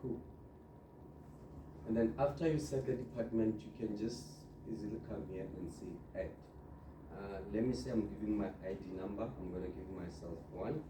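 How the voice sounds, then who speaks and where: A man giving an informal step-by-step software tutorial, instructing and often checking in with the listener. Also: lowish voice, normal speed. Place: indoor room, filming a computer monitor. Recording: roomy echo, distant mic, clear background noise.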